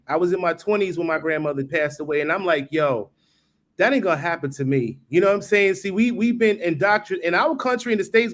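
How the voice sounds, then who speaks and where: A man in his forties from New York, talking casually on a live video call. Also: sits high. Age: 40s. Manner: relaxed and conversational. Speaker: guest. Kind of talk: casual live-stream chat.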